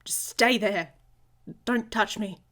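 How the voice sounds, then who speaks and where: A woman playing a hot-tempered, protective she-wolf in an intimate ASMR roleplay, with a husky, breathy voice and a blunt, sardonic manner. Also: medium pitch, slow pace, a bit bright. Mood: agitated, snapping. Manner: sardonic, blunt, speaking straight to the listener.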